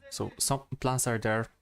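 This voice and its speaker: Young polish guy streaming, talks slow with lots of ums, flat tone. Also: medium pitch, creaky. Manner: unhurried, thinking aloud, with pauses and fillers. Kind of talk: casual chat with stream viewers.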